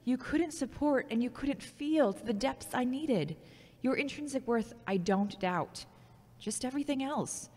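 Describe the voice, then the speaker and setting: A woman's voice: sits high, lively intonation, unhurried, a little mellow. An actress playing a frank, logical, critical yet caring former girlfriend in a scripted audio drama. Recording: long hall-like reverb.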